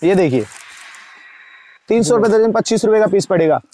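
Young shopkeeper guy reeling off rates super fast, highish voice, busy little shop. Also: expressive, warm tone, dry recording. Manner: rapid price-listing patter, repeating rates per dozen and per piece. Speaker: wholesale shopkeeper. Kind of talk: sales pitch to camera. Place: crowded indoor cosmetics shop.

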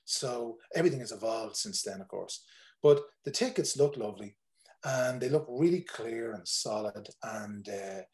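A man's voice: on the low side, expressive, normal speed, a little mellow. A man in his forties with an Irish accent, a tour operator talking casually on a video call, rambling with many fillers and restarts. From Ireland. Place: home room, indoors.

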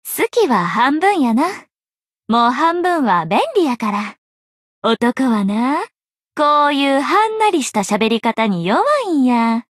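A young female anime game journalist with a high, very animated voice and a Kansai accent. She is a breezy tease who fibs playfully, coquettish and lilting, in a dry, close recording. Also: slow pace, dry recording, heavily acted. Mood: teasing, coquettish. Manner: soft, coquettish, lilting dialect with playful teasing. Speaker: game voice actor. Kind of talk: Japanese anime game voice acting.

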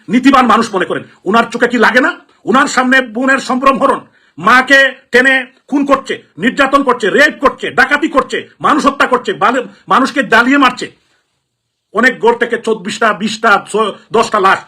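A man's voice: very high voice, moderate pace, bright, forceful tone. A Bangladeshi man from Sylhet, a politician giving an impassioned political address in an emphatic, repetitive, indignant manner. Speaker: politician, elected representative.

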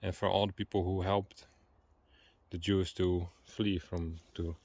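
A man's voice: sits low, level tone, a little slow, a little mellow, no echo. A man whose first language is Dutch, chatting playfully while walking in a forest and searching for English words. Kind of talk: casual chat. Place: outdoors in a forest.

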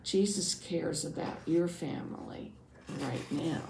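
Woman as devout father, slow and gravelly. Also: sits low, background noise, full character voice. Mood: relieved, grateful. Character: devout anxious father, synagogue leader, telling his testimony. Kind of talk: first-person Bible storytelling for families. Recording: roomy, echoing sound.

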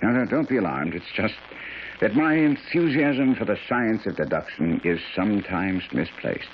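A man performs an urbane, courteous detective in a radio play. His deep voice moves about with assurance and dry politeness. The sound is a muffled broadcast with faint noise. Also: animated, normal speed, lightly acted. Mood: courteous, assured. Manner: urbane, deductive, dryly polite. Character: brisk, courteous, self-assured gentleman detective. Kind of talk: radio drama acting.

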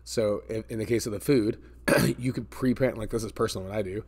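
Male, American, 40s, slightly low voice; conversational coach delivering casual advice with emphatic repetition. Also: fairly even intonation, fairly quick, a little mellow. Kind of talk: casual advice talk to camera. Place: indoor office space with metal ceiling and glass garage door.

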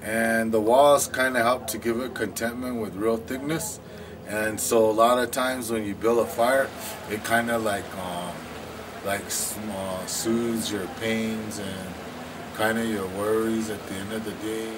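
A man who lives in the village answers an interview question casually, relaxed and hesitant as he searches for words. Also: on the low side, measured pace, noisy background. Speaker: village resident. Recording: distant mic with music underneath.

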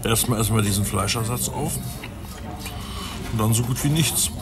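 An actor in a radio play, playing a dry, methodical police detective who reasons in a wry, reflective way. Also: male voice, on the low side, moderate pace, natural voice. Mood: dry, pondering. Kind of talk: naturalistic German radio play acting.

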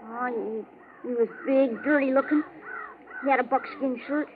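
A woman's voice: high voice, fairly even intonation, normal speed, narrow, tinny audio, close to an everyday voice. A woman playing a shy, earnest frontier boy in a radio western, in hesitant, simple phrases. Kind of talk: 1950s radio western acting. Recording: thin 1950s radio, faint outdoor noise.

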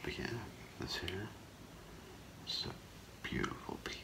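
A middle-aged man whispering in a halting, hushed ASMR role play as an appraiser, breathy and gravelly, close to the mic. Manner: slow, hushed, halting, with long pauses. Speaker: ASMR performer role-playing a gemologist. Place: small curtained room indoors.

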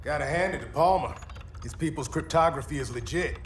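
An actor playing a confident, dismissive half-machine hacker hero in a dramatic video game. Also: male voice, fairly high pitch, a little fast, a little hiss or hum, natural voice. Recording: loud music and machinery behind.

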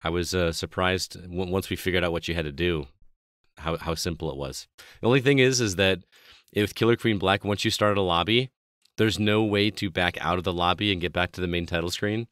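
A man hosting a video game podcast in casual chat, his voice slightly hoarse, upbeat and chatty, often trailing off low at phrase ends. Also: fairly even intonation, a little fast, fairly bright tone, dry recording, studio quality. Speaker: podcast host.

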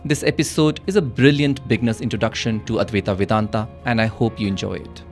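A man's voice: fairly low pitch, fairly even intonation, quick pace. 30s guy hosting a podcast, studio mic, faint music behind. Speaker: podcast host. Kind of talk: scripted intro, then interview questions. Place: studio with dark wall. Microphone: studio mic with headphones.

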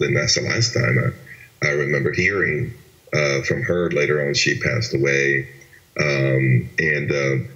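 An earnest man reflects on his life as an interview guest. His voice sits extremely low yet has a forceful, bright edge. The recording sounds dull and lo-fi. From Venezuela. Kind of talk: personal testimony interview.

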